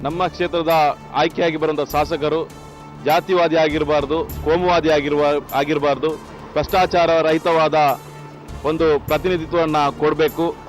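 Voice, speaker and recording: A man's voice: a little high, level tone, moderate pace, over music, low-quality recording. A man making a formal, oratorical statement of opinion. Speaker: local resident giving opinion. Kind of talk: political statement to camera.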